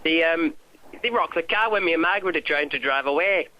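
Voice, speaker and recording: An indignant old man in his eighties, put on by a man for a prank call, with a high-pitched voice. He grumbles, repeats himself and rambles crossly, with the odd mild curse. The sound is a dull, lo-fi phone line. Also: brisk, natural voice. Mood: indignant, grumbling. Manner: grumbling, rambling, repetitive, mildly sweary.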